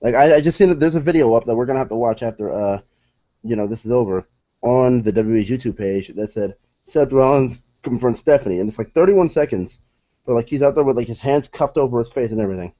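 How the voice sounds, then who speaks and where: A man co-hosting a casual wrestling chat who keeps pressing his own take on the storylines. His voice is mellow and warm, and the recording sounds dull and lo-fi. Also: medium pitch, quick pace. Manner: insistent, eager to argue his point.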